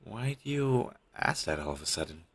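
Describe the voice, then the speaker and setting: Man acting as husky, low-voiced devoted talent agent. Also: sing-song, normal speed. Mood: sincere, fond. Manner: earnest, hesitant, reassuring. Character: devoted, apologetic male talent agent, earnest and caring. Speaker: amateur voice actor in a scripted audio roleplay. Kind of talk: scripted audio roleplay, intimate one-sided dialogue. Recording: low-quality amateur recording.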